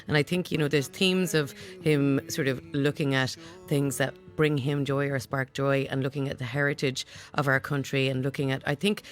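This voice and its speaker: A woman's voice: a bass voice, flat delivery, normal speed, hardly any pauses. Woman on a radio review panel, chatty, lots of ums and kind-ofs, faint music under it. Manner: chatty, thinking aloud with many hedging fillers. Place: radio studio.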